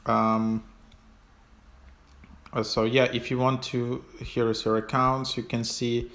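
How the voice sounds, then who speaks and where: Flat, hesitant man giving an app walkthrough. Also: medium pitch. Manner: hesitant, halting delivery with trailing phrases. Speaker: host of an app tutorial. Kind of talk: casual unscripted app walkthrough.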